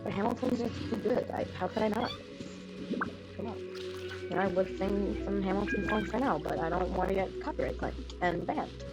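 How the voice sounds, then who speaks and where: A woman's voice: medium pitch, normal speed, rough recording. A woman streaming a game, chatting casually and rambling, her voice worn from losing it. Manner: chatty, rambling, laughs often. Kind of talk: casual live gameplay chat. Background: game music.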